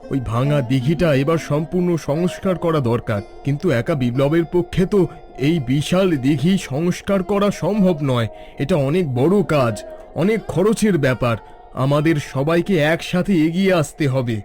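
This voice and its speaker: A man plays a wise village schoolteacher in a cartoon, his voice a bit high and fatherly. He encourages others firmly, with soft music playing behind. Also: expressive, normal speed, lightly acted. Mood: encouraging. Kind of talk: cartoon voice acting.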